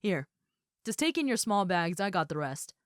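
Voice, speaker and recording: A woman's voice: on the low side, very animated, normal speed, dry recording, studio quality. A woman playing a muscular female ogre roommate in a roleplay audio, friendly, easygoing and caring, with a casual, chatty way of talking and hesitant asides. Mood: chatty, upbeat. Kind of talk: roleplay audio acting, addressed to the listener.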